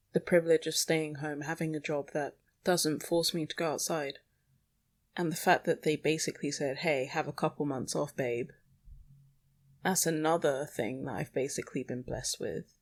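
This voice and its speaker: A young woman podcasting alone in a rambling, confessional monologue, anxious and self-deprecating. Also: deep voice. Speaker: podcast host. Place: small bedroom at home. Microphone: small microphone.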